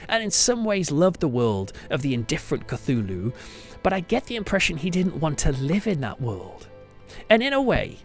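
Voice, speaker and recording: A British man hosting a podcast, giving a reflective monologue in a conversational, thoughtful and emphatic way. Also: high-pitched. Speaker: podcast host, church pastor. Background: music under the voice.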